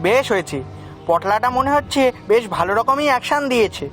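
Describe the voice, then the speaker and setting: A man playing a greedy, envious village noodle seller in an animated story, with a scheming, melodramatic manner. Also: extremely high pitch, fairly quick, soft background music. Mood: gloating. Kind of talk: animated moral story voice acting.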